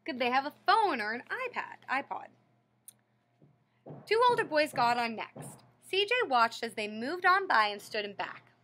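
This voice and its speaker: A woman's voice: sits high, very animated, measured pace, sharp tone, a little hiss or hum. A woman reading a picture book aloud to children like a teacher, explaining and prompting young listeners with questions. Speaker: read-aloud storyteller and teacher.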